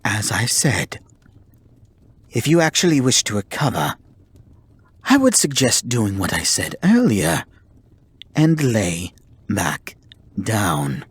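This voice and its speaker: A man playing a haughty, grudgingly caring male kitsune in an ASMR roleplay, speaking formally and theatrically with a breathy, husky voice. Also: on the low side, sing-song, slow, pauses often, no room sound, exaggerated voice. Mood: stern, calm. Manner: formal, theatrical, exasperated scolding. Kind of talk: ASMR roleplay acting, addressing the listener.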